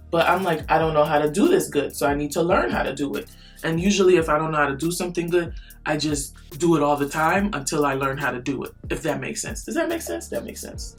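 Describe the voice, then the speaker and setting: A woman beauty vlogger who just woke up, chatting to camera with a low morning voice in a rambling, playful way. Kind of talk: casual chat to camera.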